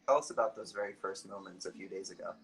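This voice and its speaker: A man's voice: sits low, fast, gravelly, fairly bright tone. A man hosting a live online interview, hesitant and full of ums as he asks his questions. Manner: hesitant, many ums and restarts. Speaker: interview host.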